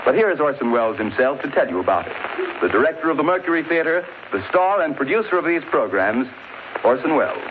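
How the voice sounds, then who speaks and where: A man announces a new radio series in a grand, promotional tone. His fairly high voice swings widely in pitch. It plays through a scratchy 1930s broadcast with heavy hiss and a narrow, tinny sound. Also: big pitch swings, quick pace. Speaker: network announcer. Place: broadcast studio.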